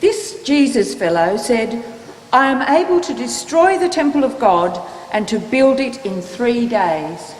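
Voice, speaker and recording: A woman's voice: fairly high pitch, animated, measured pace. A woman reading earnest, insistent Bible characters in an emphatic, theatrical dramatic scripture reading. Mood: accusing. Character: earnest disciple and accusing witness in Bible story. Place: church. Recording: echoey church, distant, background noise.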